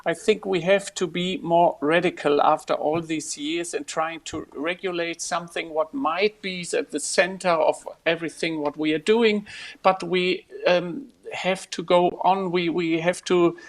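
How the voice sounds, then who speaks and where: A man's voice: a little high, fairly even intonation, a little slow. A man speaking bluntly as a panelist, urging bolder action. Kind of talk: panel discussion.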